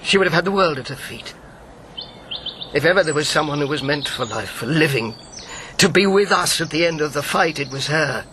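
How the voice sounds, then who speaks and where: An elderly wartime codemaster with a high, bright, tense voice and faint noise behind him. He is earnest, remorseful and doubts himself, in the manner of a radio play. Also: expressive, a little fast. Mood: wistful, regretful. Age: 70s. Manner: earnest, regretful. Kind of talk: radio drama acting.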